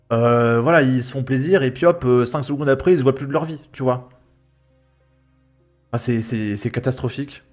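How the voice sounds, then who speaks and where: Sarcastic, exasperated young Frenchman over music. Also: mid-range voice. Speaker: YouTube commentator. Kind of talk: casual mocking reaction commentary. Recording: music behind the voice. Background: background music.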